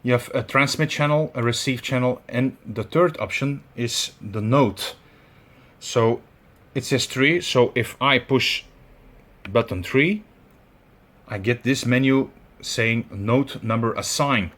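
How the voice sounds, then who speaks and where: A man with a Belgian accent giving a hands-on tutorial, talking in halting steps with many fillers as he searches for words. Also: mid-range voice, fairly bright tone. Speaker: tutorial presenter. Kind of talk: instructional demonstration.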